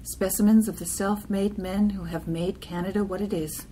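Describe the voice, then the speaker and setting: A woman's voice: medium pitch, fairly even intonation, normal speed, mellow, slight background noise, low-quality recording. A woman giving a scripted poetry reading in short, separate phrases.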